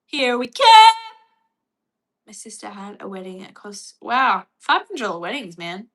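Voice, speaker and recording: Australian woman, casual streamer, bright forward voice, chatty with viewers. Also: mid-range voice, huge pitch swings, unhurried. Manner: chatty, reads and answers viewer comments. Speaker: livestream host. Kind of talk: casual livestream chat.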